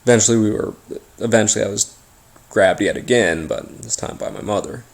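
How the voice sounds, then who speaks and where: An American man telling a first-person paranormal story in an informal, halting way, pausing between short phrases. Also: lowish voice. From Appalachian region of the United States. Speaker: host and narrator of a paranormal story series.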